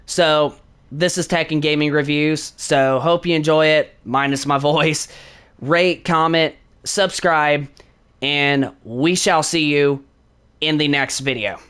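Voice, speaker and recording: A man with a slightly hoarse voice from a sore throat, hosting his channel with a casual, rambling game review that leans on "honestly" and "definitely". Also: a little high, fairly even intonation, takes it slowly, fairly bright tone.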